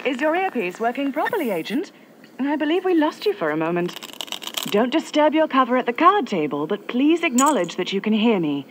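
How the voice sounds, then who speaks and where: A calm agency handler. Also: female voice, a little high, slight background noise. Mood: composed. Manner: measured, instructive. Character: calm female mission handler guiding an agent. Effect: earpiece radio.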